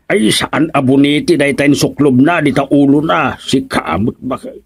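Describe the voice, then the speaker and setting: A man's voice: on the high side, measured pace, vocal fry, breathy edge, dry recording, heavily acted. A man playing an easygoing, exclamatory husband in a radio comedy drama. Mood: bantering.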